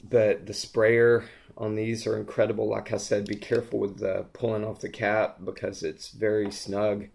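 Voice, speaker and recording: Hoarse male vlogger, low and fairly monotone, enthusiastic rambling reviewer full of ums. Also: moderate pace, hardly any pauses. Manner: gushing, rambling, lots of ums and restarts. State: hoarse, losing his voice. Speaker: fragrance reviewer. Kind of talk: casual product review vlog.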